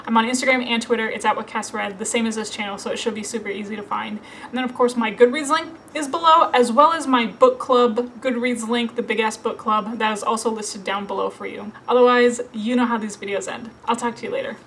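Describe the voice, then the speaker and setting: Woman, 30s, from Minnesota, slightly high voice, casual filler-heavy vlog chat, faint noise. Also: fairly even intonation, fairly quick, a bit bright. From Minnesota, USA. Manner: chatty, filler-heavy, laughs often. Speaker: book vlogger. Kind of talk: casual Q&A to camera.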